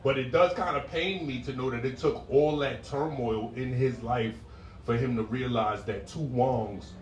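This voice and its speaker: A man in his forties doing a stand-up set, telling stories in a laid-back way and breaking into loud shouted impressions. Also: medium pitch, a little slow, slight background noise. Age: 40s. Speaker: stand-up comedian. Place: comedy club stage. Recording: roomy club sound from a distance.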